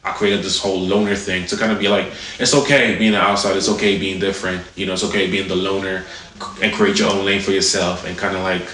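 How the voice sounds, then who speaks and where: A young South African man talks in a low, level voice, rambling with fillers. He sounds distant and echoey, with loud music playing under him. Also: flat delivery, fast talker, a little hiss or hum. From Congolese, raised in South Africa. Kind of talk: reflective interview talk.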